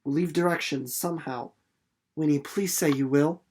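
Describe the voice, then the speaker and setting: Storybook country boy voiced by a man, teenage, earnest, folksy, pleading, slightly halting. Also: a little high, darkish tone, slight background noise, close to an everyday voice. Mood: urgent, pleading.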